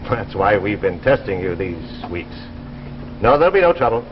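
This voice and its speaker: An actor playing a confident, reassuring scientist who explains things briskly in 1950s drama style. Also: male voice, fairly low pitch, big pitch swings, slight background noise. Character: confident, reassuring scientist directing a space mission test. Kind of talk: 1950s television drama acting. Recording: muffled old broadcast with background sound.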